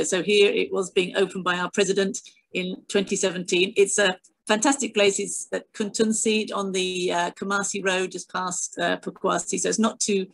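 A woman's voice: medium pitch, gravelly, a bit bright, rough recording. A middle-aged British woman giving a chatty online talk on a video call, full of fillers and restarts. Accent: British English. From Britain. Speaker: guest speaker, astronomy educator. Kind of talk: online presentation with slides.

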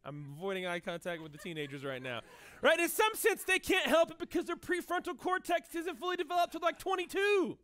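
Pastor, 30s, male, very high pitch; casual, animated sermon delivery. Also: a little fast, hardly any pauses. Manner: animated, conversational preaching with frequent "right?" asides. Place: church stage with band gear.

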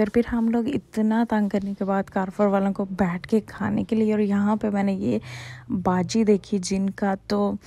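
A woman's voice: medium pitch, vocal fry, warm tone, no room sound. A young woman, narrating her shopping vlog in a chatty voice-over with joking asides. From Pakistan. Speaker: vlogger.

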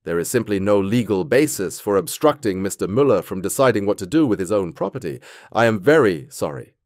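A stern but sympathetic judge. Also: male voice, lowish voice, fairly quick, dry recording, studio quality. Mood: grave. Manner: formal, calm, sympathetic but firm. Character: measured male German judge.

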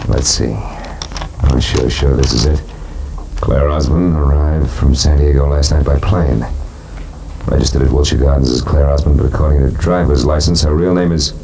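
An actor playing a tough, wisecracking private detective in a 1950s radio noir drama, clipped and sardonic. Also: male voice, very deep voice, steady tone, normal speed, gravelly, warm tone, a little hiss or hum, low-quality recording. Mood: intent. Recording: old 1950 radio recording.

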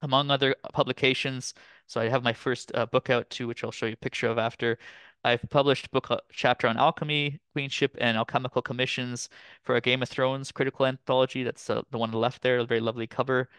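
A man from Canada in his thirties giving a relaxed, chatty academic presentation over a video call, with frequent fillers. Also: medium pitch, fast, smooth, no echo. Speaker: guest lecturer. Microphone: computer mic on video call.

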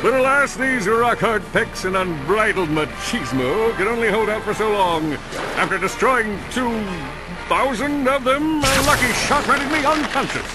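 A man's voice: high voice, expressive, normal speed, loud music behind the voice, low-quality recording. A man voicing a vain, cowardly alien superhero in a video game, in a bombastic, melodramatic announcer manner. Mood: melodramatic boasting. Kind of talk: video game cutscene comic acting.

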